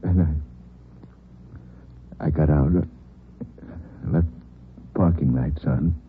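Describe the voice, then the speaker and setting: An actor playing a grief-stricken father in deep shock, speaking in halting, broken phrases and repeating himself, in a 1950s radio drama. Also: slight background noise, full character voice. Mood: dazed. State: in shock, distraught. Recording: narrow-band old radio broadcast.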